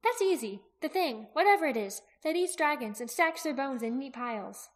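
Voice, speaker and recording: A woman voicing an excitable, quick-witted young female character in a fantasy audiobook, speaking with emphatic expression. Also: high voice, pitched above the performer's own voice. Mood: urgent, inquisitive. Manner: exclaiming, emphatic.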